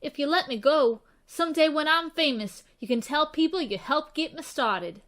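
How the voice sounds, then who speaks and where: A woman's voice: high voice, lively intonation, moderate pace, a bit bright. A woman voicing a cocky, eager young runaway boy in a western radio drama, boastful and rustic in speech. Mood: defiant, hopeful. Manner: rustic, boastful, folksy drawling grammar. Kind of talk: old-time western radio drama acting.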